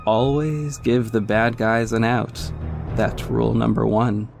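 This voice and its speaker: A man's voice: mid-range voice, little pitch movement, measured pace, creaky, darkish tone, faint music behind. A man playing a confident young vigilante who narrates his own exploits in a wry, smug way in an audio drama. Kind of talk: scripted first-person audio drama narration.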